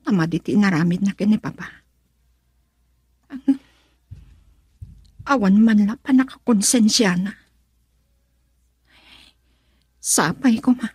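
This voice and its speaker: A woman's voice: mid-range voice, measured pace, long pauses, a little mellow, slightly rough, no room sound, clean studio sound. A woman playing a loving young wife, plaintive and tender, in an Ilocano radio drama. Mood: wounded. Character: loving, wistful young wife, hurt by her father.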